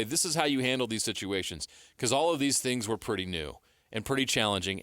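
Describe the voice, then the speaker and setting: An American man co-hosting sports radio, giving measured, explanatory analysis in a conversational style on a close studio mic. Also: mid-range voice, bright, forceful tone, no echo. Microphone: close studio broadcast mic.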